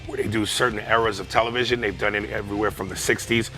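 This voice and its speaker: A man with a raspy voice, a comedian guest talking casually in a TV studio with lots of fillers. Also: on the low side, steady tone, fast talker, music in the background, poor audio. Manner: laid-back, full of fillers and asides. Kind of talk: casual TV interview chat.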